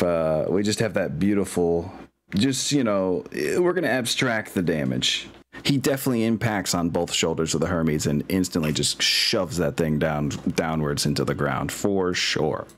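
Deep-voiced man hosting a tabletop game. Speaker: game master and streamer. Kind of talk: casual chat wrapping up an online tabletop game session.

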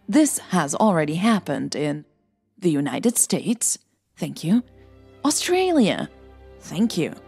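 A woman's voice: medium pitch, darkish tone. A woman hosting a podcast and narrating a romance story, sounding a little tired. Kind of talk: scripted romance narration and casual podcast outro.

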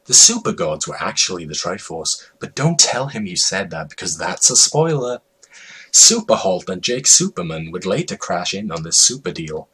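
Husky deep-voiced man, theatrical dramatic reader. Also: sing-song, normal speed. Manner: theatrical, mock-serious, swinging from hushed to shouted. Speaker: reader of a comic fan story. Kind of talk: dramatic reading of absurd fan fiction.

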